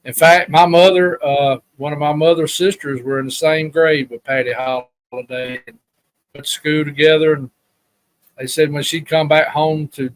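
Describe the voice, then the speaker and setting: A man's voice: a little high, flat delivery, moderate pace, poor audio. Man, Alabama Southern accent, folksy, rambling, plain-spoken, casual reminiscing, podcast guest. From Alabama, USA.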